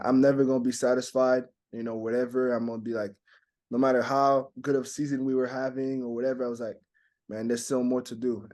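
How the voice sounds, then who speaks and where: Young man from Montreal, French his first language; flat, even delivery, stop-start phrasing full of fillers. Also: mid-range voice. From Montreal, Canada. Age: young adult. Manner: halting, many "you know" fillers and restarts. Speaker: basketball draft prospect. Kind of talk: casual interview answers.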